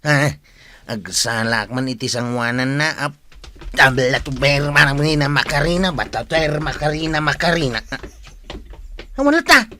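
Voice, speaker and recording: Casting: male actor as curious Filipino nephew, bright and slightly high, teasing, radio comedy. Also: lively intonation, moderate pace, slight background noise. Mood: puzzled. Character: teasing, curious nephew puzzled by his uncle.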